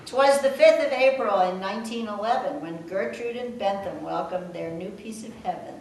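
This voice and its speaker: A woman's voice: mid-range voice, moderate pace, darkish tone, far from the mic. A woman with a mid-range voice reads verse aloud to a family gathering, slipping into casual asides. She is some way from the microphone in a very echoey room, with faint noise. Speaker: daughter reading her poem aloud.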